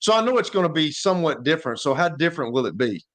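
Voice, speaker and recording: A man's voice: fairly high pitch, lively intonation, racing, fairly bright tone. An American man co-hosting a sports talk show, asking questions in a casual, conversational way.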